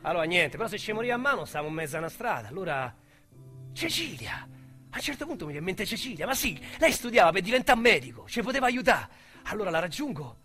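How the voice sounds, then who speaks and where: An actor with a Roman accent playing a small-time male crook who confides his past in a radio drama, speaking in a wistful, halting way. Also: sits high, big pitch swings, pushed and bright, soft background music, full character voice. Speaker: narrator-protagonist.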